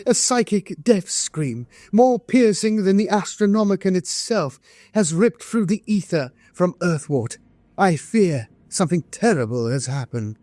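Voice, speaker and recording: A man's voice: high-pitched, lively intonation, measured pace, warm tone, no echo. A man acting an alarmed military messenger delivering a dire dispatch in an audio drama, urgent, portentous and theatrical. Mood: alarmed, foreboding. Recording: music behind a studio voice.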